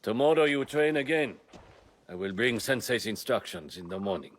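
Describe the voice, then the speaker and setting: An actor playing a stern samurai retainer in a video game, giving clipped, commanding instructions. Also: male voice, fairly low pitch, expressive, moderate pace, slight background noise, lightly acted. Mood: firm. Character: stern samurai retainer, firm guide and minder. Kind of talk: dramatic video game acting.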